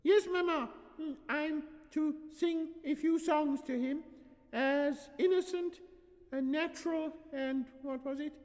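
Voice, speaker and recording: A man plays a dutiful young girl in a storybook tale. The voice is a very high, childlike falsetto, naive as if reciting a lesson, with long reverb around it. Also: slow pace, a little mellow, exaggerated voice.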